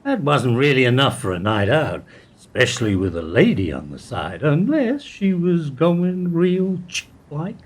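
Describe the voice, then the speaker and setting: An actor playing an observant, low-ranking bank employee in a radio play, speaking in a measured, pointed, insinuating way. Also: male voice, huge pitch swings. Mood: knowing, insinuating.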